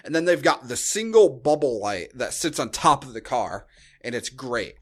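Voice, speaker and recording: A man's voice: mid-range voice, sing-song, moderate pace. An American man co-hosting a podcast in casual, rambling, joking conversation. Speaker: podcast co-host. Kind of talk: casual chat, movie review.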